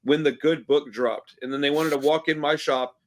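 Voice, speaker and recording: A man's voice: on the high side, steady tone, moderate pace. A man hosting a casual punk podcast interview, laid-back and chatty, often calling his guest "man" or "dude.". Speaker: podcast host.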